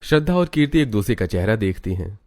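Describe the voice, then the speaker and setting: A man narrates a family drama in a theatrical storytelling style. His voice sits fairly low, and music plays softly behind him. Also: very animated, fast. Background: background music.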